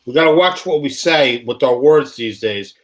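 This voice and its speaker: A man's voice: medium pitch, animated. A man hosting a casual live show, talking loosely and rambling through explanations with plenty of fillers. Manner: loose, rambling, acts out dialogue in quick voices. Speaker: host.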